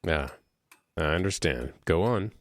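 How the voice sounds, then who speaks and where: Low-voiced probing questioner, measured. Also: male voice, lively intonation, no room sound. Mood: calm, probing. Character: calm, probing male listener drawing out a confession. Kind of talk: audio drama acting.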